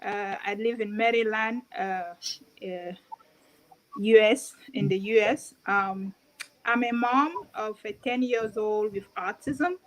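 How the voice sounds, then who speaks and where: A woman's voice: mid-range voice, takes it slowly, poor audio. A woman from Cameroon introducing herself as a guest on a video call, with many hesitations. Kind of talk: online talk show guest.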